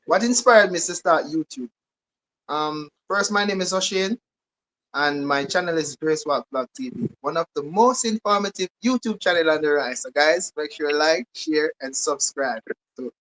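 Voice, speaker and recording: A man's voice: fairly high pitch, moderate pace, a bit bright, low-quality recording. Guy talking up his channel, upbeat. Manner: upbeat, promotional. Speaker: YouTuber guest. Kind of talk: casual chat.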